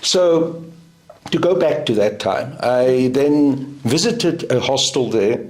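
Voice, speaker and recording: A middle-aged South African man with an Afrikaans first language speaks slowly and deliberately, leaning on each point. His voice is mid-pitched and recorded in a roomy, echoing space. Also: lively intonation, darkish tone. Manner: deliberate, emphatic, measured. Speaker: guest. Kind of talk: interview answer. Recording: roomy echo.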